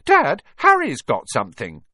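A man voicing a spoiled, whiny young boy who demands things loudly in a storybook reading. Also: a little high, wildly swooping pitch, measured pace, no echo, studio quality, pitched above the performer's own voice, full character voice. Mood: excited. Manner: loud, demanding.

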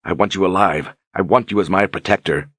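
Man doing a steady, gentle young lord. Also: low voice, fairly quick, husky, no room sound, studio quality. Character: calm, steadfast young male lord and protector.

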